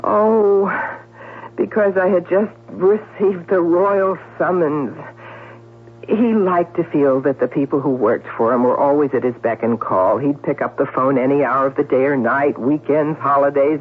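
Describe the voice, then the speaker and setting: An actress playing an evasive, self-dramatizing middle-aged newspaper gossip writer in a 1970s radio drama. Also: female voice, sits low, measured pace, breathy edge. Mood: guarded. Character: Clara, smooth evasive career newspaper gossip writer, an older sister. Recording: tinny, noisy old radio broadcast.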